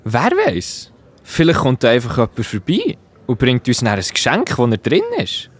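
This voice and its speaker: A man with a Swiss German accent playing an excitable, puzzled disciple in a children's Bible audio drama. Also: medium pitch, moderate pace, slightly rough. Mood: speculating.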